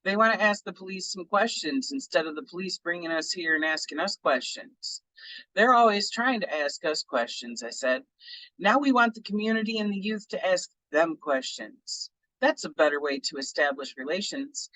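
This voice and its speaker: A man reading a memoir aloud for a book club, in a relaxed and appreciative way. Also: sits high, moderate pace, no room sound, rough recording.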